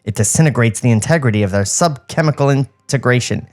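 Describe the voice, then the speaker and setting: A man's voice: mid-range voice, lively intonation, fast, a little mellow, lightly acted. A man voicing a secretive cartoon fox scientist, with pompous jargon and conspiratorial manner in a script read.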